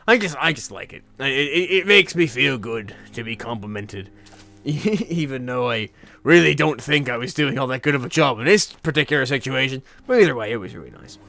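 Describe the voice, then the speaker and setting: Bright, forceful young man telling rambling anecdotes. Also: mid-range voice, lively intonation, gravelly, no room sound. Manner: halting, repeats words, chuckles often.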